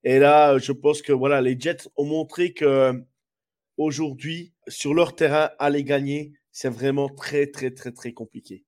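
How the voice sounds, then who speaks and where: A man's voice: fairly high pitch, fairly even intonation, unhurried, darkish tone. A man co-hosting a sports podcast, stuffy with a cold, rambling through his picks. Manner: rambling, many fillers and restarts. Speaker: podcast co-host. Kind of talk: casual sports chat.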